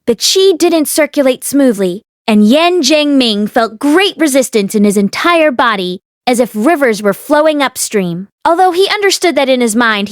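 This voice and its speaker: Woman, audiobook narrator, storybook narration, somewhat high pitch, dry acoustic. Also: no room sound. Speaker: audiobook reader.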